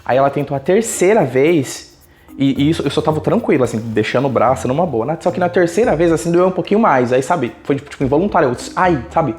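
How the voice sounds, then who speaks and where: A man's voice: on the high side, fast. A man from Brazil with a Brazilian accent, telling a personal story casually and colloquially as a YouTuber. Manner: colloquial, animated retelling with acted quotes.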